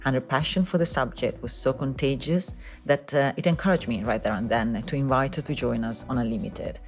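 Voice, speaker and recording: A woman's voice: extremely low pitch, a little fast, soft background music. A woman hosting a podcast, delivering a formal scripted introduction and then asking interview questions. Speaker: podcast host.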